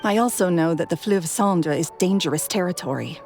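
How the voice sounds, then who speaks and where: A woman's voice: on the low side, expressive, moderate pace, a little mellow, faint music behind. A woman voicing a guarded, embittered and wary adult woman in a video game. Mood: cautious, concerned. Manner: guarded, weary, earnest.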